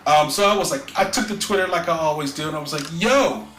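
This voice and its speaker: Bright-voiced rambling male podcast host. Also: on the high side, fast talker, roomy sound, soft background music. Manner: loose, rambling, frequent "you know" and restarts. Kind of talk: casual chat.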